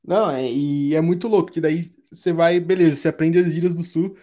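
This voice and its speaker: A woman's voice: on the low side, a little fast, warm tone. A young woman with a southern Brazilian accent from Curitiba, chatting casually in a slangy, anecdotal way. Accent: Curitiba, southern Brazilian Portuguese. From Curitiba, Paraná, Brazil. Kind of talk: casual chat.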